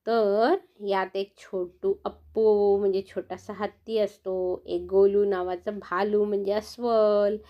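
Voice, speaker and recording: A woman's voice: mid-range voice, flat delivery, slow, lo-fi sound. A woman telling a bedtime story to children in a sing-song, coaxing manner, often asking them questions.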